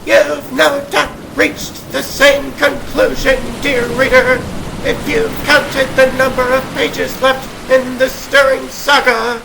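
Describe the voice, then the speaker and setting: A man's voice: very high voice, flat delivery, takes it slowly, creaky, exaggerated voice. A man voicing an arch, formal comic book narrator addressing the readers, with noise behind. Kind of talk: scripted narration.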